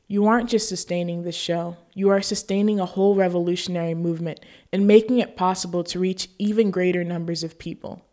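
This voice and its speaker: A woman hosting a show, reading scripted narration and appeals to viewers in an earnest, deliberate way. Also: lowish voice, warm tone. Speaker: show host.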